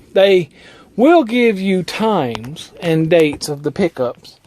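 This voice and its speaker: A man's voice: sits high, mellow, no echo, studio quality. A man talking casually as a trucker vlogger, explaining things in a folksy, colloquial way.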